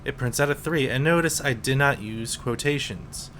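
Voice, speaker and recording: A man in his twenties, a teacher, calmly explaining programming in a casual screen-recorded tutorial with some fillers. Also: mid-range voice, slight background noise. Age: 20s. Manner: slow, relaxed explaining with fillers.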